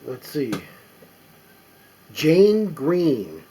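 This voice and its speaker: A man casually talking to himself as he sorts a stack of old records, reading the labels aloud in a halting, musing way. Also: on the high side, sing-song, warm tone, husky. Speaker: record collector. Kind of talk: casual running commentary while sorting records.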